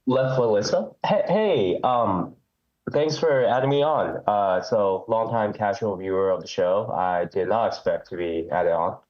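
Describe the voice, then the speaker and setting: A man's voice: lowish voice, expressive, unhurried, lo-fi sound. A man calling in to a live show, speaking hesitantly with many ums and restarts, with a head cold. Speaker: caller. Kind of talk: call-in chat.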